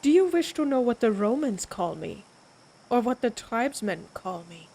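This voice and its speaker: A woman playing a proud, menacing vampire warrior in an audio roleplay, speaking deliberately with an imperious, taunting manner. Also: on the high side, sing-song, mellow, rough recording. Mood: wry.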